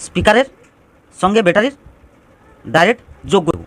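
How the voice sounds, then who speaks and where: A man's voice: sits high, very animated, a little fast, a bit bright. A man giving an informal step-by-step DIY electronics tutorial, explaining and restating phrases as he goes.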